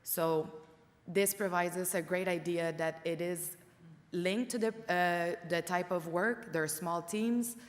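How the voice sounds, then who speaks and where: Woman presenting research with many pauses. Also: fairly low pitch, fairly even intonation, in a large hall. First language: French. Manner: frequent "uh" fillers, careful reading of results. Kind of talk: conference presentation. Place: conference room. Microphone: room microphone.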